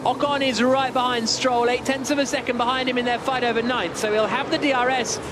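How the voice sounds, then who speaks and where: A man giving live, excitable, dramatic motor racing commentary from a commentary box. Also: extremely high pitch, a little fast. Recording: loud engine noise behind. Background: race cars and engine noise.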